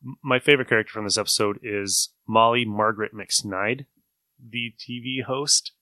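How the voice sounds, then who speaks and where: A man's voice: on the low side, fairly even intonation, moderate pace, bright, forceful tone, no echo. A man hosting a podcast, chatting casually with friends. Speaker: podcast host. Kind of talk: casual group chat.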